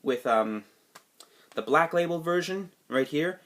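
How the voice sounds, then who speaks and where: A man's voice: fairly high pitch, animated, takes it slowly, a bit bright. A man recovering from a cold, sniffly, casually talking through his game collection with lots of fillers and restarts. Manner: rambling, many fillers and restarts. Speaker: video game collector vlogger. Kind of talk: casual video review.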